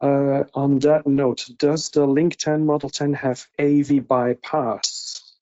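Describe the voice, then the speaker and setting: Mellow male voice, medium pitch, webinar moderator, dull muffled call audio. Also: level tone, slow. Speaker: moderator reading out audience questions. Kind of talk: informal webinar Q&A. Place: indoor room on a video call.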